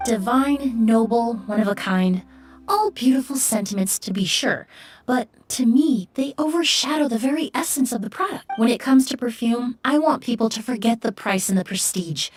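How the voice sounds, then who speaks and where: A woman playing a courteous, serene young perfumer in an anime game, speaking in a measured, refined and polite manner. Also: fairly high pitch, lively intonation, distant mic, soft background music, close to an everyday voice. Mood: earnest, polite. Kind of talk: anime game story dialogue.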